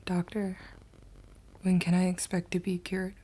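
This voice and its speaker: A woman playing a tormented male hospital patient in a horror manga dub, breathy and whispery, with a brooding, dramatic delivery. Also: fairly low pitch, monotone, a little slow, warm tone. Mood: haunted, weary.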